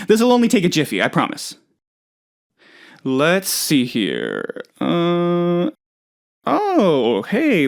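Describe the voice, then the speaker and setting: A man voicing a naive, excitable oddball office boss in a video game, chatty and rambling, with hesitant, earnestly gullible delivery. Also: on the high side, exaggerated voice. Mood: eager. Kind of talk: video game character dialogue.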